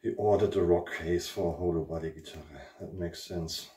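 A man's voice: sits low, steady tone, normal speed, warm tone, far from the mic, slight background noise. A man in his forties from Germany with a German accent, hosting a casual guitar unboxing vlog at home and searching for his words with many ums. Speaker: guitar channel host. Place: living room at home, indoors. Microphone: camera mic at a distance. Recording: echoey room, speaker away from mic.

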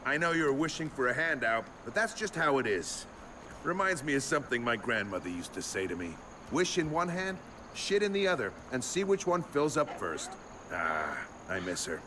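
A man's voice: mid-range voice, big pitch swings, normal speed, natural voice. An actor playing a smug, cynical male government agent who talks in a sardonic, mocking way. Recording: game audio over gameplay noise.

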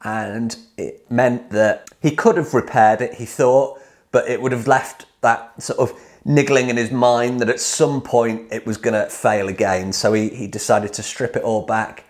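A man's voice: on the low side, moderate pace, darkish tone. A man in his forties with a British accent talks straight into a close mic in a clean studio. He has a chatty, upbeat, slightly salesy way of explaining things, as if he is walking a viewer through a build. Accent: British English. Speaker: DIY hot tub course creator and channel host. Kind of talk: direct-to-camera explainer.

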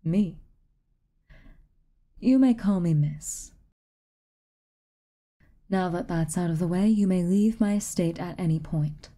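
Lady doing a stern aloof witch for asmr roleplay, really low and dark soft voice, bossy. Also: takes it slowly, long pauses. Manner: aloof, clipped, commanding, occasionally breathy near-whisper. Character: haughty, reclusive tsundere witch, stern but secretly kind.

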